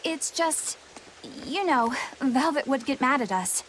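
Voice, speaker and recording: An actress playing a polite, earnest young woman in a game, expressive and easily flustered. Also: sits high, measured pace, slight background noise, poor audio. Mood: awkward, earnest.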